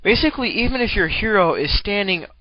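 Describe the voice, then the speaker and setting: A man's voice: sits high, lively intonation, a little fast, dry recording, muffled recording. A man giving casual, rambling commentary on a game replay, with profane, jokey slang.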